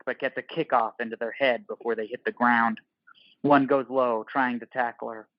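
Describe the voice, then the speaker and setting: A man's voice: medium pitch, no echo, dull audio. A man narrating an audio play from a script in terse, dramatic, clipped phrases.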